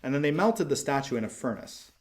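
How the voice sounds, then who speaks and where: Measured male storybook narrator. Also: mid-range voice, lively intonation. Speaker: audiobook narrator. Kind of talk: expressive literary storybook narration.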